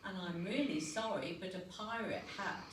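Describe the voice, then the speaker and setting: A woman's voice: medium pitch, moderate pace, natural voice. A woman voicing a kindly female primary school teacher in a storybook, apologetic and hesitant but politely firm as she scolds. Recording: roomy, noisy recording, distant mic.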